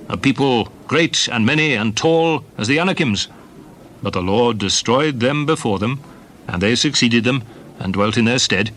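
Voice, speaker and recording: A solemn leader of ancient Israel in a dramatized scripture reading. The voice is bright and forceful, with a mid pitch that rises and falls widely. Phrases are weighty, emphatic and deliberate, in old-fashioned biblical English. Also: male voice, a little hiss or hum. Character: solemn Old Testament leader recounting wars and journeys.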